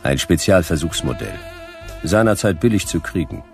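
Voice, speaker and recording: An actor playing a weary, wisecracking private detective in a radio play, laconic and sardonic in a noir style. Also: male voice, deep voice, sing-song, moderate pace, gravelly, darkish tone, faint music behind, heavily acted. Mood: resigned.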